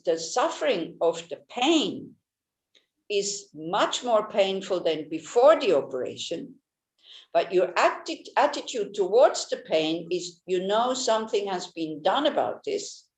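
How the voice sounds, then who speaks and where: A woman whose first language is Swiss German gives a Buddhist teaching in a gentle manner, often restarting and searching for words. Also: medium pitch, animated, a little slow. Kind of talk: Dharma teaching talk. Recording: echoey room.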